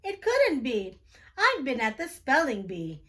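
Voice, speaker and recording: An innocent little animal from a picture book pleads its case in rhyme, read by a woman. The voice is high and lively, with slow, deliberate wording. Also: exaggerated voice. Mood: defensive.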